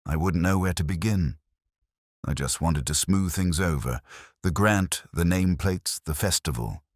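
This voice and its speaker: A man's voice: low-pitched, a little slow, darkish tone, no echo, studio quality. A man voicing a flustered, helpless male town councillor who splutters defensively.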